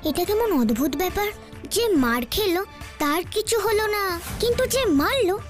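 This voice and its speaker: Squeaky, chirpy little cartoon child. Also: female voice, big pitch swings, brisk. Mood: puzzled, curious. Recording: loud children's music behind the voice.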